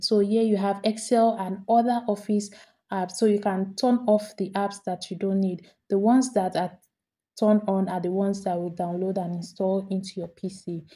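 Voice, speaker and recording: A woman talking through a screen-recorded software tutorial, step by step, repeating each action as she does it. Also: mid-range voice. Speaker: tutorial host.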